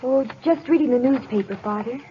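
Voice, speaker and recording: A woman's voice: high-pitched, a little fast, a little hiss or hum, close to an everyday voice. A woman playing an earnest, polite young sweetheart in an old-time radio comedy, proper and eager in her speech. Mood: casual, guarded. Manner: proper, eager, well-spoken. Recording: muffled old radio broadcast, crowd behind.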